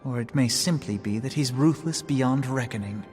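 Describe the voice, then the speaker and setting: A man's voice: mid-range voice, normal speed, darkish tone, big-room sound, faint music behind, lightly acted. A man voicing a dry, sardonic council member. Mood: wry.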